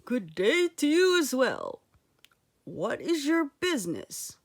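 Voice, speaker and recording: A woman's voice: high voice, wildly swooping pitch, slow pace, no echo, clean studio sound, pitched above the performer's own voice, heavily acted. A woman voicing a stately male eagle in a storybook, formal, courteous and commanding.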